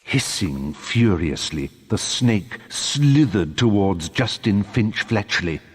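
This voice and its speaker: A man narrating a wizard tale as a storyteller in a video game, with a dramatic scripted delivery and a husky voice. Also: on the low side, animated, takes it slowly, in a large hall, heavily acted. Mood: suspenseful narration.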